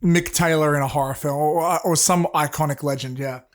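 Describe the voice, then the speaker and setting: A man's voice: on the high side, steady tone, fairly quick. A man with a sore throat, co-hosting a casual podcast and talking in a rambling, hesitant way with filler words. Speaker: podcast co-host. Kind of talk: casual chat.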